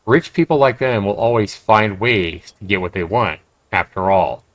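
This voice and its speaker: A man's voice: fairly low pitch, animated, moderate pace, no room sound. A man livestreaming a game, commenting conversationally and emphatically and reading text aloud. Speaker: game streamer.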